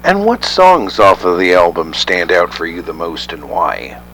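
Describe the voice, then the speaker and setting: A man asks interested, prompting questions as an interviewer. His voice is very low but moves around a lot in pitch. A faint hum sits behind him. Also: wildly swooping pitch, unhurried, a bit bright, rough recording.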